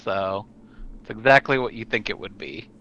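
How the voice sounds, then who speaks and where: A man chatting casually as a tabletop game player, halting as he talks, on a slightly muffled, noisy mic. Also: lowish voice, expressive, fairly bright tone. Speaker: player on a tabletop roleplaying show. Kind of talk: casual chat.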